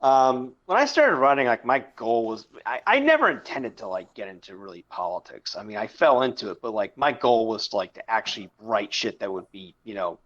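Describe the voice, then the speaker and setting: A man in his thirties hosting a casual podcast chat, telling a long story in a halting, rambling way. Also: on the low side, big pitch swings, fairly bright tone, highs cut off. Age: 30s. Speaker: podcast host and publisher.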